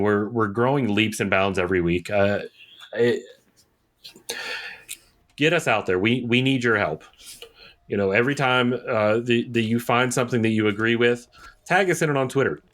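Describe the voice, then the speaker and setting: A man's voice: fairly low pitch, normal speed, long pauses, a bit bright. A man co-hosting a podcast in casual chat, opinionated and emphatic, often repeating points for stress. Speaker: podcast co-host.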